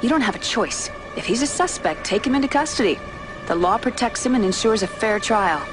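A woman's voice: on the high side, animated, fairly quick, over loud music, lightly acted. An actress playing a firm, no-nonsense young female security officer in a sci-fi game, direct and insistent. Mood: commanding. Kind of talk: acted dialogue in a sci-fi game cutscene. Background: dramatic game score.

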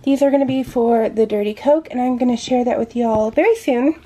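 The mellow, husky American woman you'd hear behind the camera in a homemade kitchen-counter video, chatting through her shopping. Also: fairly high pitch, a little fast. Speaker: vlogger showing a grocery haul. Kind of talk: casual chat to viewers. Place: indoors at home, kitchen.

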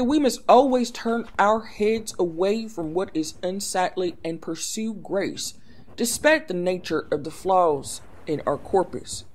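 Woman playing a stern preachy old nun. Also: fairly low pitch. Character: stern older nun, cold and pious.

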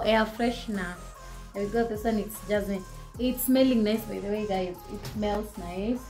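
Young kenyan woman chatting through her shopping haul at home, mid-pitched voice, soft music under it. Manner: chatty, code-switching, shows items to camera. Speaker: vlogger. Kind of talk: casual shopping haul chat. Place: living room indoors.

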